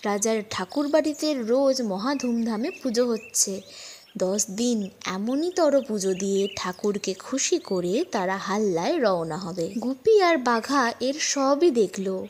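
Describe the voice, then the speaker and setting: A woman's voice: fairly high pitch, animated, a little slow, darkish tone, a little hiss or hum. A woman narrating a scripted children's folk tale as a storyteller for an audiobook.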